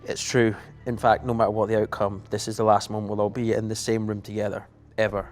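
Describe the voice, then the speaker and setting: A man's voice: lowish voice, flat delivery, quick pace, faint music behind, natural voice. A man in his thirties playing a brainy, precise, grave scientist in a TV drama table read. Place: large meeting room full of people.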